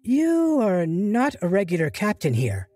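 A man's voice: high-pitched, wildly swooping pitch, a little slow, mellow, no echo, soft background music, voice pushed up, full character voice. A man voicing a bold teasing woman prisoner. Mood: curious.

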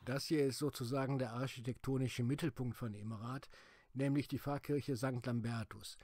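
A middle-aged man calmly narrating a documentary travel video as its host. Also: mid-range voice, normal speed, no room sound, clean studio sound. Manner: calm, explanatory.

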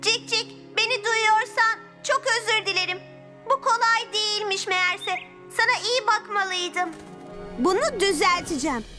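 A woman voicing an earnest, tender-hearted little superhero girl, sincere and expressive, in a children's cartoon dub. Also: very high voice, a little slow, over music. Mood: remorseful. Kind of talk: animated children's cartoon dubbing.

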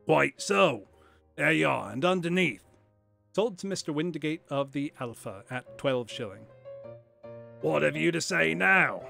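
A man voicing a surly, fierce market poultry seller in an audiobook, with a loud, impatient manner. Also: fairly high pitch, expressive, a little slow, soft background music, pitched above the performer's own voice, full character voice. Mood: smug, challenging. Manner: shouting, gruffly impatient.

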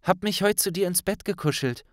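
A man's voice: high-pitched, a little fast, dry recording, clean studio sound, voice pushed up, natural voice. A man voicing an affectionate, breezy teenage girl in a fantasy audiobook.

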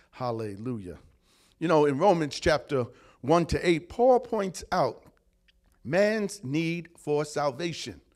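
A man preaching as pastor in a church service, deliberate, with praises and restarts. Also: mid-range voice. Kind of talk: sermon.

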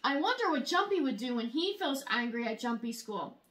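A young woman reading a children's picture book aloud in an expressive, exaggerated storytelling way. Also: high-pitched, fairly quick, slight background noise. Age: young adult. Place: small room at home, indoors.

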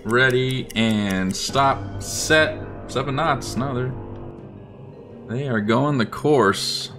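A man giving casual, unhurried gameplay commentary, thinking aloud as he plans. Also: medium pitch, a bit bright, soft background music. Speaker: gaming video host.

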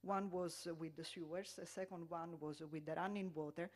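A middle-aged Italian woman with an Italian accent giving a conference lecture, often pausing on fillers and restarting her sentences. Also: on the low side, fairly even intonation, a little mellow. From Italy. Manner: hesitant, many fillers and restarts. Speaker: public health lecturer.

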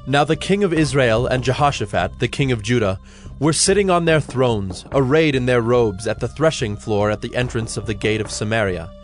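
A man narrating scripture from a script in a measured, formal reading style. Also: mid-range voice, animated, soft background music.

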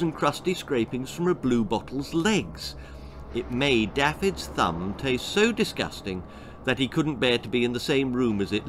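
A man's voice: medium pitch, a bit bright, slight background noise. A man in his sixties reading a grisly comic children's story theatrically, with emphatic, relishing articulation. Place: small indoor room lined with books and records.

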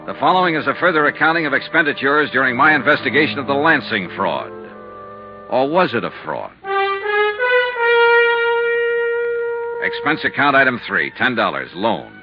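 An American actor playing a brisk, insistent insurance investigator in a 1950s radio detective drama, with a clipped, hard-boiled delivery. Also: male voice, mid-range voice, very animated, music in the background, lightly acted. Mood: dry, businesslike. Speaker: lead actor and narrator. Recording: dull, muffled 1950s radio recording.

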